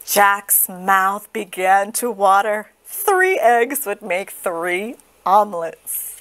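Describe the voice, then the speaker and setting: A woman reads a picture book aloud for children. She takes her time, with dramatic phrasing and a very lively rise and fall. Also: medium pitch, slow pace. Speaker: storybook reader. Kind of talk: expressive children's read-aloud.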